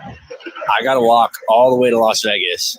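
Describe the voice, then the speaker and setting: A man from the USA livestreaming from his phone under a highway bridge, reading out viewer joins and gifts in a running list. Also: normal speed, dry recording, low-quality recording. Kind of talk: live stream chat reading.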